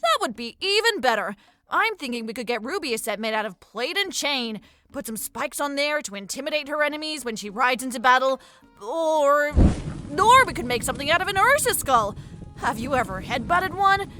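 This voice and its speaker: A woman playing a brash, playful young dragon tamer in an animated fandub, cheeky and expressive in her delivery. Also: high-pitched. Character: brash, playful young dragon-tending big sister in fantasy. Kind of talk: animated fantasy fandub acting.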